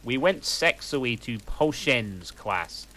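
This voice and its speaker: A man's voice: mid-range voice, animated, slow, sharp tone. A man reading a story aloud for laughs at home, with dry, mocking asides between lines. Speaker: reader. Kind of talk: comic reading of a fanfic.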